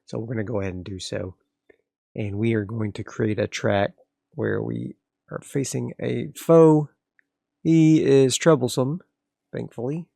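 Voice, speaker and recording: A man with a medium-pitched, gravelly voice plays a tabletop role-playing game by himself. He talks through his decisions in a casual way, moving between narration and rules. The sound is close and dry, with little room around the voice. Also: steady tone, a little slow, mellow, no room sound. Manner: casual, narrates moves and reads rules aloud. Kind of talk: solo tabletop actual-play commentary, thinking aloud.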